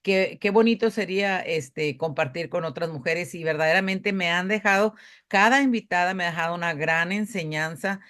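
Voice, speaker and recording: A woman's voice: on the low side, moderate pace, fairly bright tone. A woman hosting a podcast interview, speaking with many fillers and restarts. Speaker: podcast host. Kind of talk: casual interview.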